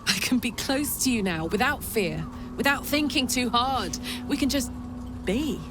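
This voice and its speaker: A big-hearted, defiant female warrior from a fantasy game. Her voice sits a little high, and she talks plainly and casually with open feeling. Music plays underneath. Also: animated, unhurried. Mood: awed, tender. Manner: frank, casual, emotionally open. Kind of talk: video game dialogue acting.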